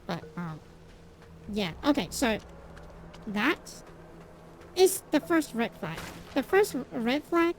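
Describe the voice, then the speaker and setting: A woman's voice: fairly high pitch, very animated, slow pace, pauses often, slight background noise. A woman streamer, not a native English speaker, chatting casually with her viewers. She rambles with lots of fillers and restarts, laughing at herself now and then. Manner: self-deprecating, laughs at herself. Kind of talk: casual chat with stream viewers while gaming.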